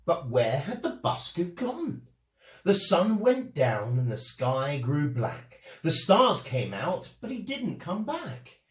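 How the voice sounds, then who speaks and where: A man telling a rhyming children's story as an expressive storyteller. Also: fairly high pitch, takes it slowly. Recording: echoey room.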